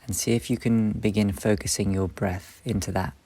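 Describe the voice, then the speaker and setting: A man leading a guided meditation, speaking slowly and gently in short, soft phrases with long pauses. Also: lowish voice, fairly even intonation. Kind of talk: guided meditation, instructional and soothing.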